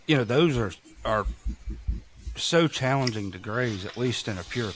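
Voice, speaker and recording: A man's voice: medium pitch, expressive, a little slow, a little hiss or hum. A man from Mississippi with a Southern accent, chatting casually as a farmer guest on a podcast, folksy and self-deprecating. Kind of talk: casual chat.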